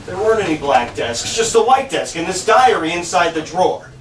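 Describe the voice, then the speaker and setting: A man acting a stubborn, literal-minded, fretful character in quick comic banter in a live stage play. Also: high voice, very animated. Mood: dry, insistent. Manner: contrary, insistent, literal-minded. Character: stubborn, contrary man fretting over a missing friend. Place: small bar performance room. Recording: echoey live venue, distant mic, faint noise.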